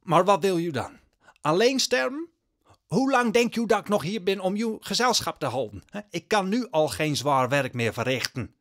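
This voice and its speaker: A man's voice: sits high, very animated, fairly bright tone, exaggerated voice. Man playing a worried old peasant father. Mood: exasperated, scolding. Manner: halting, rustic, exasperated. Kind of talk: Dutch audio drama acting.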